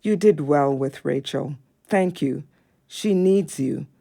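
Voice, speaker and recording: A woman playing a gentle, wistful dog watching over her young human in an audio drama, speaking tenderly. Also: low-pitched, slow pace, creaky, full character voice.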